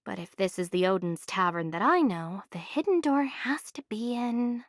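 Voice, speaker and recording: A woman's voice: mid-range voice, animated, moderate pace, no echo, studio quality, natural voice. An actress playing a young, determined female adventurer in an anime game, inquisitive and unimpressed. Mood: wry, curious. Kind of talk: English anime game voice acting.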